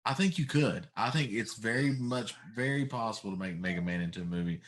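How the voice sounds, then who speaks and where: A man's voice: on the low side, fairly quick. A middle-aged man chatting casually and giving his opinions as a talk show host.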